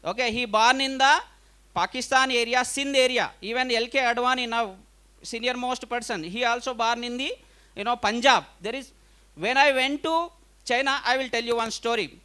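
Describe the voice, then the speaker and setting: A man's voice: very high voice, moderate pace, pushed and bright, clean tone. A man from India gives an informal conference lecture in an emphatic, conversational way, often repeating himself and checking in with listeners. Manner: emphatic, repetitive, conversational, with frequent check-in tags. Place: live conference talk.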